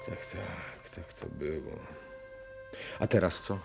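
An actor playing a distraught, bewildered gentleman in a radio drama, declaiming and questioning theatrically. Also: sits low, takes it slowly, gravelly, heavily acted. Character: distraught master fashion designer lost in revolution. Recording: 1980 radio play with music behind.

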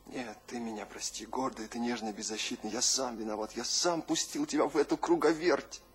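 An actor playing a weary, self-blaming husband who feels old and grey, half-whispering in a radio drama. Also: male voice, mid-range voice, a little fast, breathy edge. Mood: tender, self-blaming. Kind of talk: theatrical radio drama acting.

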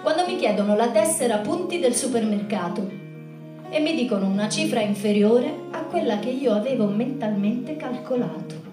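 Woman doing a spoken stage piece, highish voice, far from the mic with music behind. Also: lively intonation, normal speed, reverberant. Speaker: performer in a live show about the human voice. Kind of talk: spoken monologue and storytelling to an audience.

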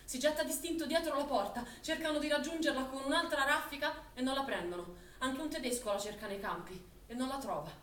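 Solemn female narrator, highish voice, theatrical, urgent, echoey room, faint noise. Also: a little fast, few pauses, a bit bright, far from the mic. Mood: grave, urgent. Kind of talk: dramatic scripted stage narration. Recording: echoey, slightly noisy, off-mic recording.

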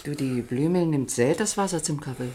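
An actress playing an anxious, fussing older village wife in a German radio play, pleading and fretful. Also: female voice, sits low, brisk, dark tone, a little hiss or hum, close to an everyday voice. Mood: resigned.

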